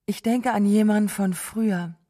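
A woman with a soft, mellow voice speaks in a literary radio drama, restrained and wistful. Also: mid-range voice, fairly even intonation, measured pace.

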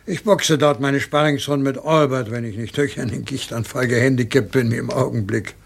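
Gravelly, theatrical older colonel. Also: male voice, mid-range voice, steady tone. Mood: genial, urbane. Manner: theatrical, deliberate, savouring his words. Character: older eccentric colonel, genial, boastful, scheming country-house host. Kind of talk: radio crime drama acting.

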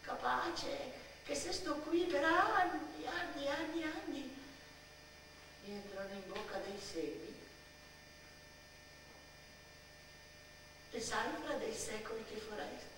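A woman in a theatrical radio monologue, playing a dramatic, brooding little girl who speaks poetically in deliberate short phrases. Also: sits high, voice pushed up. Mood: wistful. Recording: echoey stage sound, distant, faint noise.

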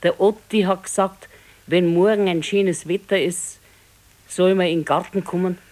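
A woman's voice: fairly low pitch, steady tone, moderate pace, a little mellow, lightly acted. An actress playing an old grandmother and grumbling, practical wife in a radio play, speaking tersely and dryly with a Bavarian accent. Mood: coaxing. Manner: terse, matter-of-fact, dryly contradicting. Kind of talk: naturalistic radio play dialogue.

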